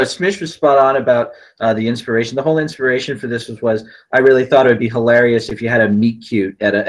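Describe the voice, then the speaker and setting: A man's voice: medium pitch, a bit bright, poor audio. A man chatting informally as a guest writer explaining his work. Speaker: scriptwriter guest. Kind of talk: casual chat.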